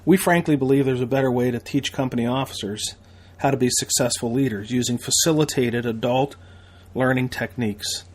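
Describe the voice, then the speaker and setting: A man's voice: medium pitch, darkish tone. An American man hosting a podcast, delivering a promotional monologue as a training instructor in an emphatic, folksy way with repetition and rhetorical questions.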